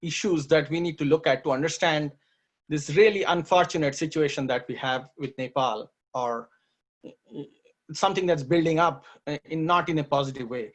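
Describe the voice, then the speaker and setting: A man co-hosting a talk show, explaining his points in a measured way. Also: on the high side. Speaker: co-host. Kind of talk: conversational talk show discussion.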